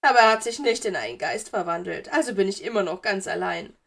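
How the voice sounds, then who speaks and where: A woman's voice: mid-range voice, expressive, fast, creaky, fairly bright tone. A woman voicing a whiny, self-pitying, lovesick teenage girl ghost in an audiobook, melodramatic and wheedling. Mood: plaintive. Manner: sobbing, wheedling, melodramatic complaining. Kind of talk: audiobook character voice.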